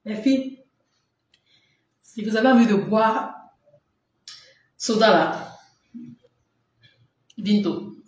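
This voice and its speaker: A woman's voice: mid-range voice, expressive, very slow, long pauses. Chatty woman in a very echoey room. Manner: chatty, laughs often, answers viewers' comments. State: runny nose. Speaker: live-stream seller. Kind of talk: casual live-stream chat. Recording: very echoey room with faint noise.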